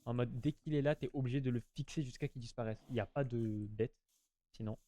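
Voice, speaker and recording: A man's voice: medium pitch, brisk, warm tone. A man giving casual, slangy gameplay commentary, chatting to his viewers and often repeating himself. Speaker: gaming YouTuber.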